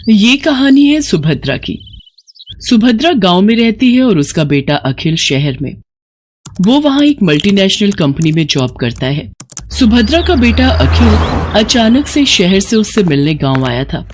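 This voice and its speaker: A woman's voice: medium pitch, very animated, measured pace, slight background noise. A woman narrating a scripted moral story expressively.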